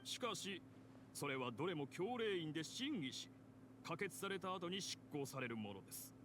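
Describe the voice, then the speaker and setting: An actor playing an impartial, formal debate moderator in an anime game, giving measured rulings in Japanese. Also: male voice, on the high side, big pitch swings, noisy background.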